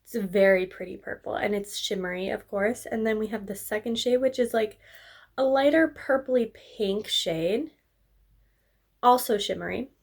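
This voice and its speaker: A young woman talks through a makeup tutorial in a casual, upbeat way, often trailing off into fillers. Her voice sounds a little hoarse and congested, as if she's coming down with a cold. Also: mid-range voice, normal speed. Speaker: beauty vlogger.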